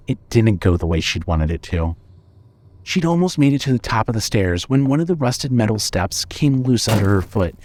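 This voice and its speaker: Measured male horror story narrator. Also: lowish voice, background noise. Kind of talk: scripted horror audio drama narration.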